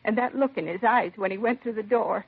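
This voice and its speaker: Husky, stoic mother, vintage radio. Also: medium pitch, fairly quick. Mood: agitated. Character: composed middle-aged mother of a slain son, stoic. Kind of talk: 1950s radio crime drama acting. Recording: vintage 1950s radio, narrow husky sound.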